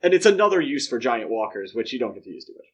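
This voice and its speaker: A man's voice: medium pitch, sing-song, very fast, breathy edge. A man co-hosting a podcast, casually discussing films in a conversational, explanatory way. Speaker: podcast co-host.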